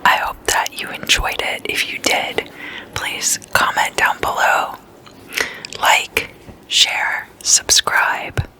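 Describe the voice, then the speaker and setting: An ASMR host whispering in an intimate slumber-party chat, addressing listeners as guests and softly repeating words. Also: slow pace. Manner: repeats single words in soft trailing echoes.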